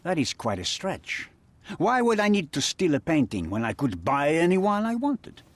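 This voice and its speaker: Smuggler crime boss, cold, threatening, animated pitch, dry close recording. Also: male voice, moderate pace, no room sound. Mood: impatient. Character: menacing male crime boss, smuggler and cutthroat. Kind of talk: video game cutscene dialogue.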